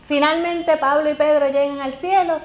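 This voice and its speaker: High-voiced Puerto Rican woman preaching. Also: fairly even intonation, normal speed, slight background noise. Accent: Puerto Rican Spanish. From Puerto Rico. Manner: personal, joking preaching. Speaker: guest pastor. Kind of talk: sermon to a congregation. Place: church sanctuary. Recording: echoey church hall with faint background.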